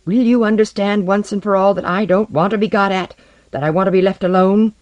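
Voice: medium pitch, steady tone, a little fast, dry recording, dull audio, voice pushed up, close to an everyday voice. A narrator voicing an arrogant, exasperated man who spits out contempt in a story reading. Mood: exasperated disgust. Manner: contemptuous, shouting outbursts. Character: high-voiced, venomous, exasperated man, an arrogant outcast space surveyor.

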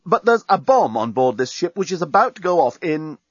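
Man doing a panicky journalist, exclaiming, raised pitch, wildly animated, comic audiobook. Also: brisk, no room sound, lo-fi sound. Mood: alarmed. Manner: frantic, exclaiming. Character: frantic, shouting male journalist.

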